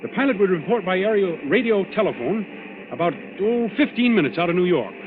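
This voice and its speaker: An actor playing a resolute, level-headed young naval commander in 1940s radio drama style. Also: male voice, high voice, animated, brisk, noisy background. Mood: grave, firm. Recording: tinny old radio broadcast, music behind.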